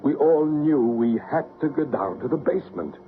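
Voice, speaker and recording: A man's voice: medium pitch, animated, moderate pace, lightly acted. An actor playing an earnest, solemn man leading a séance in an old radio drama, intent and probing. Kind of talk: old-time radio drama acting and narration. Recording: narrow, noisy old radio recording.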